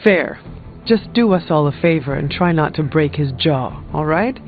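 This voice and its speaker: An actor playing a calm, level-headed male ally in a video game, composed and formal with a gentle wryness. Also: on the high side, normal speed. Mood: calm, wry. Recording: thin phone-like sound, faint music behind.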